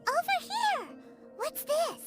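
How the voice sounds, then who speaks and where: A woman's voice: extremely high pitch, sing-song, takes it slowly, exaggerated voice. A woman voicing a small, chirpy, curious female fairy companion in a video game. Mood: excited, curious. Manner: chirpy, inquisitive, exclaiming. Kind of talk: video game character dialogue. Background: game music.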